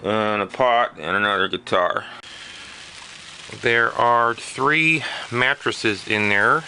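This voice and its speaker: Male vlogger, slightly low voice, unhurried pace, plainspoken and matter-of-fact, unscripted show-and-tell. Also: sharp tone, a little hiss or hum, poor audio. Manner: plainspoken, matter-of-fact item listing with pauses. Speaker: vlogger showing storage auction finds. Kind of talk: casual unscripted narration to camera.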